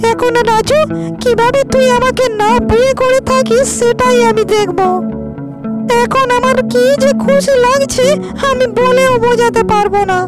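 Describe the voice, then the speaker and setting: Woman doing a spoiled scheming cartoon rich girl, super high voice, haughty, loud music behind. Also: steady tone, a little slow. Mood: gloating. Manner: haughty, emphatic. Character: spoiled, vengeful young landlord's daughter, scheming and haughty. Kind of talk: cartoon moral-story acting.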